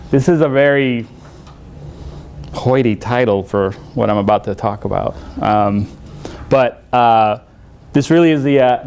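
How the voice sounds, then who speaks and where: A man from El Salvador, somewhere in his forties, speaks at a conference in a university hall at a middle pitch. He jokes at his own expense and pads his sentences with many fillers. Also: a little mellow. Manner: self-deprecating, joking, with many fillers. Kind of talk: conference talk.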